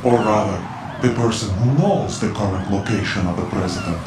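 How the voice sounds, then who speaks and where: An actor playing a mysterious, cold male informant in a video game, measured, cryptic and condescending. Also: lowish voice, noisy background, lightly acted. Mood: cool, withholding. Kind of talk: dramatic video game voice acting. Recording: echoing space, loud music behind.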